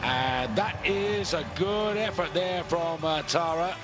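Unhurried male ski jumping commentator. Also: fairly high pitch, expressive. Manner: measured, informative, frequent pauses and fillers. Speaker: sports commentator.

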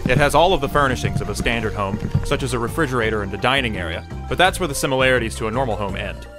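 Male visual-novel narrator, medium pitch, animated descriptive read, clear music bed underneath. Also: racing. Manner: descriptive, articulate. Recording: music behind.

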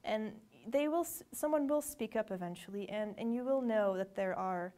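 A woman's voice: mid-range voice, moderate pace, mellow. A woman in her twenties from Belgium, speaking an earnest personal message to the camera in an empty lecture hall, with many fillers and restarts. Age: 20s. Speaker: university graduate sharing a message. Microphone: camera framed close, seated.

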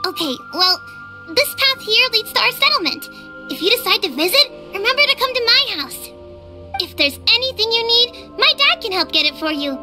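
A woman's voice: very high-pitched, sing-song, normal speed, over loud music. A woman voicing a spirited young girl, earnest and a little cheeky, in video game dialogue. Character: spirited young girl, a tribe elder's daughter.

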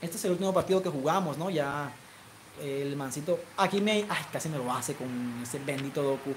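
A young man from Colombia's Caribbean coast with a coastal Colombian accent, casually chatting with viewers and calling a football video game match, his voice climbing when he shouts goal calls. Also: on the high side, moderate pace, a little hiss or hum. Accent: Colombian Caribbean coastal Spanish. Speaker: gaming streamer. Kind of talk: live football game commentary and chat.

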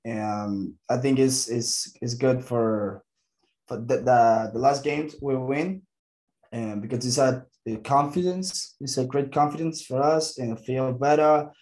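A young man with a Mexican Spanish accent answers questions at a press conference. His English is halting, full of restarts and pauses as he reaches for the right word. His voice sits in a medium range. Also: mid-range voice, darkish tone. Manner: halting, searching for English words, many restarts. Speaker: football player.

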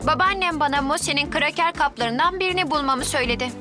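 A woman's voice: sits high, fast talker, over music, lightly acted. A woman voicing an earnest, somewhat glum little boy in a dubbed cartoon. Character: sad little boy, Tim, about four.

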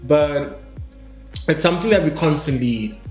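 A man, chatting as a guest over a meal at home, quick to joke and laugh. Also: on the high side, roomy sound, faint music behind. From Congo. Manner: lively, laughs often, jokey. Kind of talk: casual chat over a meal. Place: home kitchen, indoors.

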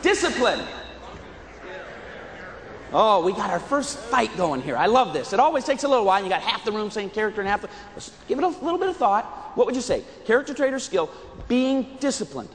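A man's voice: sits high, very animated, fairly quick, slight background noise. A middle-aged American man giving an interactive keynote speech, projecting emphatically to his audience. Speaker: motivational keynote speaker. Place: stage in a large indoor conference hall. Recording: reverberant large hall.

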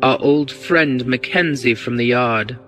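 A man reading the part of a cool, bold, quick-witted English gentleman rogue in an audiobook, breezy and commanding. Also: medium pitch, faint music behind, close to an everyday voice. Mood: tense, brisk.

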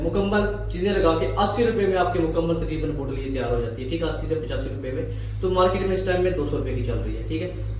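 A man in his twenties with a Pakistani accent, explaining informally as he hosts a product demonstration and sales pitch, often adding check-in tags. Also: a little mellow. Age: 20s. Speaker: business video host demonstrating machinery. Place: small tiled indoor factory room. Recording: echoey tiled room, distant mic, faint noise.

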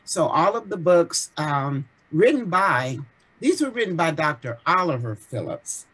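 A woman's voice: low-pitched, sing-song, a little slow, a bit bright, slightly rough. A woman explaining a course syllabus step by step over a video call. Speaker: course administrator. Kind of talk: walking through a syllabus on screen.

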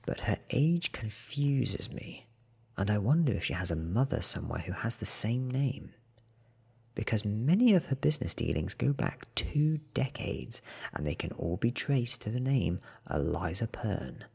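A man in his thirties reading a book aloud in a soft, half-whispered ASMR style, with hushed, deliberate phrasing close to the mic. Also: on the low side, very animated, gravelly, husky. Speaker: audiobook reader.

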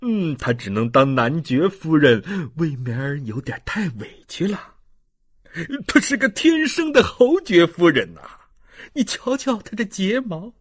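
A man voicing a doting, excitable old grandfather in an audiobook, with effusive sighs and exclamations. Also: a little high, a little slow, slightly rough, no echo, clean studio sound, heavily acted. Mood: gushing, delighted.

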